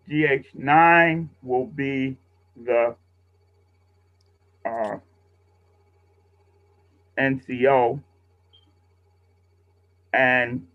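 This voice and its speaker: A man instructing a class in a casual, patient, step-by-step way over a video call. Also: mid-range voice. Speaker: instructor leading a radio training class. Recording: muffled, roomy video call audio.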